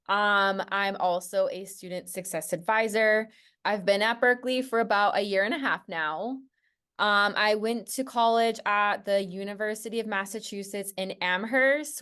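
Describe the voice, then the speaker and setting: Smooth-voiced woman advisor introducing herself. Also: mid-range voice. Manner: relaxed and matter-of-fact, with ums. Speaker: student success advisor. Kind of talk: casual self-introduction in an info session.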